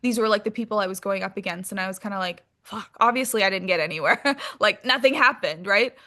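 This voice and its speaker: A woman's voice: medium pitch, quick pace, sharp tone. A woman being interviewed as a guest, talking casually and candidly with informal language and some swearing.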